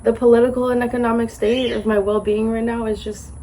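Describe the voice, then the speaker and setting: A woman's voice: fairly high pitch, little pitch movement, a little fast, dark tone, a little hiss or hum. A young woman vlogging while sick and stuffy, chatting casually and rambling with lots of "like", outdoors on a patio. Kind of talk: casual vlog chat. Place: outdoor patio by a golf course. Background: birds and outdoor ambience.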